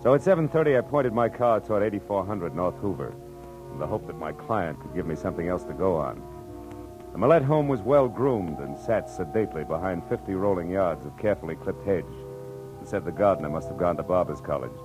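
An actor playing a wry, world-weary private detective narrating in a 1940s radio drama style. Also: male voice, sits low, expressive, brisk, low-quality recording. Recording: old radio recording with music.

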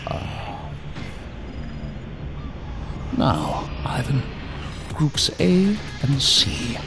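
An actor playing a mysterious, imperious man who gives clipped orders in an audio drama, savoring his words with a gravelly voice. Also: medium pitch, big pitch swings, drawn-out words, over music, full character voice. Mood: coolly pleased. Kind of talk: science fiction audio drama acting.

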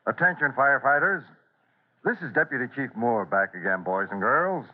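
Husky, commanding actor as a fire chief. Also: male voice, mid-range voice, expressive, normal speed, close to an everyday voice. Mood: earnest, instructive. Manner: urgent, instructive, authoritative. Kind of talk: 1950s children's radio serial acting. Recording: thin, narrowband old radio recording.

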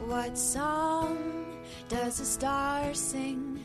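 A woman's voice: high voice, fairly even intonation, very slow, over loud music. A woman playing a dreamy, wondering storyteller in a children's space radio play. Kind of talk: scripted musical storytelling for children.

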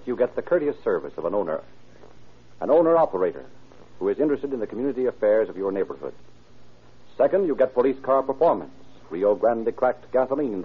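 A man's voice: medium pitch, husky, phone-quality sound. A man announcing and narrating in formal, emphatic 1930s radio sales style. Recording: band-limited old radio broadcast.

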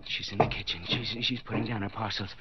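An actor playing a desperate, guilt-ridden husband in a 1940s radio suspense drama, stammering and repeating himself breathlessly. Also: male voice, on the low side, steady tone, a little fast, music in the background, lightly acted. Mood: frantic pleading. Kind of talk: 1940s radio suspense acting, interior monologue. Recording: noisy, dull 1940s radio recording.

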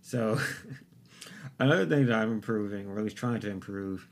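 A man's voice: fairly low pitch, normal speed. A young man hosting his own podcast, talking alone in a loose, rambling, profane and self-mocking way into a desktop microphone. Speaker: podcast host. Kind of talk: casual solo podcast monologue. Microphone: desktop USB microphone.

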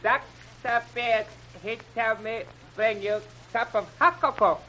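A sharp-voiced, servile plantation servant. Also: female voice, a little high, heavily acted, rough recording. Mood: servile, insistent. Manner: halting broken English with stock foreign phrasing. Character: servile, evasive half-breed plantation servant man, quietly menacing. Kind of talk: 1930s radio acting.

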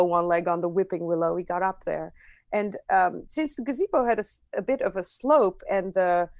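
A woman tells a personal story as a podcast guest, circling back and restarting her sentences. Her voice sits a little low. The recording is dry and close. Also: darkish tone, no room sound. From Armenia.

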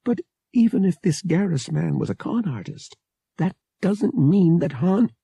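A man's voice: sits high, expressive, unhurried, vocal fry, mellow, slightly rough, no echo, pitched above the performer's own voice, exaggerated voice. A man voicing a loyal, defensive young woman who pleads emotionally, close to tears. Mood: pleading, defensive.

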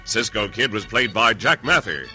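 A man's voice: fairly low pitch, animated, normal speed, sharp tone. A man announcing an old-time radio adventure show in a dramatic, scripted style. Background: organ music.

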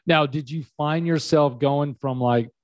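A man's voice: a little high, a little slow, no echo. Rambling male host on a video call. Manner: rambling questions full of fillers. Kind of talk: casual interview over a video call. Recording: dull lo-fi video call audio.

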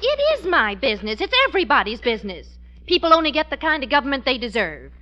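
A woman playing a sensible, exasperated American housewife in an old radio comedy, earnest and gently scolding. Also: sits high, big pitch swings, fast talker, slight background noise. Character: sensible, exasperated working-class housewife and wife. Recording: muffled vintage radio recording.

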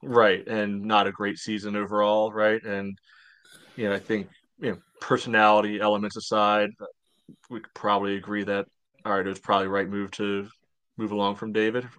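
A man's voice: fairly low pitch, level tone, a little fast, a bit bright, clear, resonant tone. A man co-hosting a sports podcast, giving casual commentary in conversation. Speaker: podcast co-host.

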